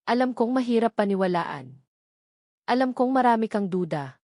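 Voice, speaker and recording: A woman's voice: on the high side, animated, normal speed, darkish tone, dry recording, studio quality, close to an everyday voice. A Filipino woman voicing an earnest, remorseful young prince who declares his love in an audiobook romance, pleading solemnly. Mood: tender, determined.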